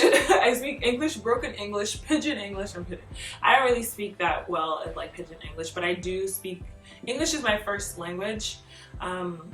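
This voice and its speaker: A young woman vlogging, chatting through tag questions in an animated way, laughing often and filling her talk with "like.". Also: lowish voice. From Nigeria. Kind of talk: casual chat answering tag questions. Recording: roomy echo, mic a bit far off, faint music behind.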